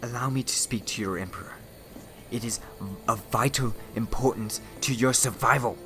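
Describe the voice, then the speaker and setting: A man's voice: mid-range voice, moderate pace, close to an everyday voice. A man playing a scheming, mischievous and theatrical villain in a sci-fi fan audio drama, mocking in manner. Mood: playful, mocking. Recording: echoey reverberant hall, music underneath.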